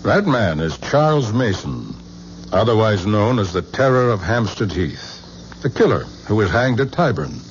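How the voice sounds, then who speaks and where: A measured museum curator on old radio. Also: male voice, lowish voice, very animated. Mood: solemn. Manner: measured, explanatory. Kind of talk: 1970s radio drama acting. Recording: dull lo-fi radio broadcast with faint hiss.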